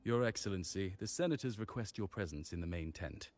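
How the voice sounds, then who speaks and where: A man's voice: low-pitched, lively intonation, fairly quick, a little mellow. A man playing a dutiful camp messenger in a fantasy game, formal and deferential to his superior. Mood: formal, urgent. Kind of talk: scripted fantasy game dialogue.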